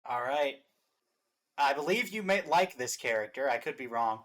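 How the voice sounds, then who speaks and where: A man's voice: medium pitch, lively intonation, moderate pace, fairly bright tone. A man hosting a reaction show in casual, conversational chat.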